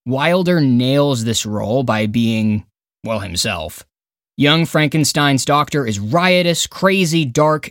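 A man's voice: mid-range voice, no room sound, studio quality. A man reading a scripted review countdown as a podcast narrator and critic, with an emphatic, opinionated, dramatic way of phrasing.

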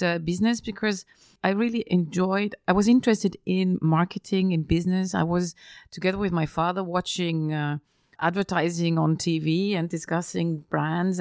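A woman's voice: on the low side, gravelly, a little mellow, no echo, studio quality. A middle-aged woman from Austria, speaking as an interview guest in a casual conversation, hesitant with many fillers and restarts. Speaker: interview guest, business executive.

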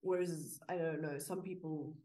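Low-voiced woman telling a story. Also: steady tone, normal speed, warm tone. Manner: chatty storytelling with fillers. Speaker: podcast co-host. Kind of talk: casual discussion.

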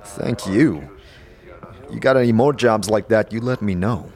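A man's voice: fairly low pitch, a little slow, darkish tone. A man playing a blunt, wry wanderer in a fantasy audio drama, speaking in a casual, hesitant, offhand way. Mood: friendly, offhand.

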